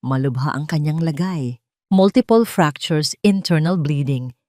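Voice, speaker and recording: A woman narrator plays a grave doctor in a radio-style drama. The delivery is measured and serious, in a low voice. The recording is close and dry. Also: lively intonation, mellow, no room sound. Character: grave doctor delivering bad news to a relative.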